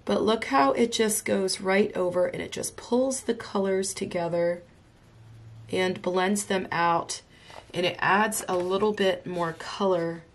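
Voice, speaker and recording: A woman's voice: mid-range voice, measured pace. Congested woman narrating a coloring tutorial. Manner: enthusiastic, chatty, talks while drawing. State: congested, recovering from illness. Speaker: art tutorial host. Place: home craft desk.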